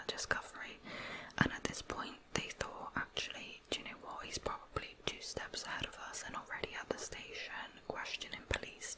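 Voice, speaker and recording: A woman telling a true crime story as ASMR, in a soft, unvoiced whisper throughout. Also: low-quality recording.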